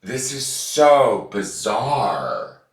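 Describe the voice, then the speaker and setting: A man with a stuffed-up voice, chatting casually as a tarot reader and rambling excitedly about the cards. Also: mid-range voice, extremely slow. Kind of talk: casual chat to camera. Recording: echoey room from far off, loud noise.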